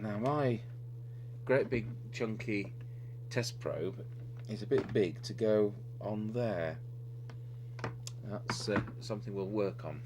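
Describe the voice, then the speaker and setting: A man's voice: fairly low pitch, slow, pauses often. A man giving an unscripted, methodical technical demonstration, thinking aloud as he works at the bench. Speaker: electronics repair demonstrator, presenter. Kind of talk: unscripted hands-on technical walkthrough. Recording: clearly noisy recording with electrical hum. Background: steady hum and noise.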